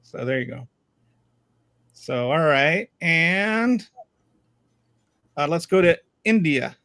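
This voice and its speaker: A man from Louisiana hosting a casual panel chat, talking in a halting way with lots of restarts. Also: a little high, expressive, pushed and bright, dry recording, studio quality. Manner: halting, full of fillers and restarts. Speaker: host.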